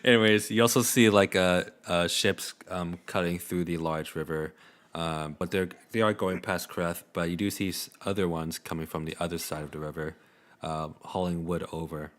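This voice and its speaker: A man working as game master, improvising casual narration in a halting, easygoing, filler-heavy way. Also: sits low, fairly even intonation, a bit bright.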